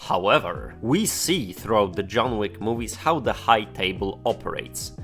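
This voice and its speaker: A man's voice: fairly low pitch, animated, moderate pace, a bit bright, faint music behind. A man from Poland, reading a scripted, opinionated commentary with a wry, casual tone and some swearing. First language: Polish. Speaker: video essayist.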